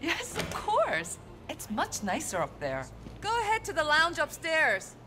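A bubbly flirty karaoke hostess. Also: female voice, high voice, huge pitch swings, a little slow, over music, heavily acted.

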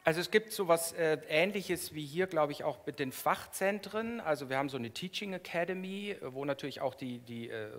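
A middle-aged man giving an academic keynote, explaining in a halting way with frequent hesitations. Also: fairly high pitch, a bit bright. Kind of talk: academic lecture, explanatory, semi-spontaneous. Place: lecture hall in front of an audience. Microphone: headset microphone. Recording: reverberant lecture hall sound.

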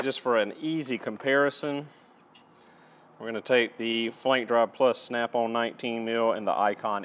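A man's voice: fairly low pitch, a little hiss or hum. A man giving a casual, matter-of-fact and methodical product review as he presents tools.

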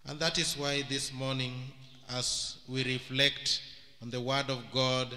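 A middle-aged Kenyan man, a priest, giving a homily at Mass into a stand microphone, preaching slowly and deliberately. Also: mid-range voice, little pitch movement, bright, forceful tone. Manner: slow, measured preaching with long pauses, switching into Luo. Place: large church hall. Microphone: stand microphone and handheld mic. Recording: church hall with long reverb.

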